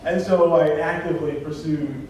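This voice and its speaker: A man speaks thoughtfully about his writing, circling back and restarting as he looks for words. His voice is mid-pitched. He is picked up from a distance in a heavily echoing conference room. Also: fairly even intonation, moderate pace, darkish tone, slight background noise. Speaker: poet on a panel. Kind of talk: answering audience questions. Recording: echoey room, far from mic.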